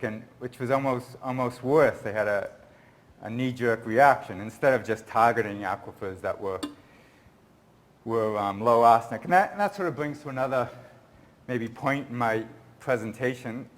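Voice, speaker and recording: A man's voice: fairly low pitch, moderate pace. A man speaking at a conference, answering an audience question in a rambling, earnest way, often restarting his sentences. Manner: rambling, self-correcting, earnest.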